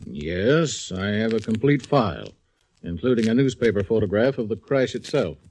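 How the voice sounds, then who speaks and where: A man's voice: on the low side, expressive, moderate pace, darkish tone, no echo, rough recording. An actor playing a suave airport owner who snaps irritably at his parrot, in a 1940s radio drama. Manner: suave, then snapping irritably.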